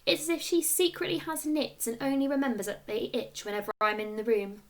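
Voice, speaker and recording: A woman narrates a children's book in a fairly high voice. She reads like a teacher to pupils, expressively and with storytelling emphasis. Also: steady tone, fairly quick. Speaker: teacher reading aloud.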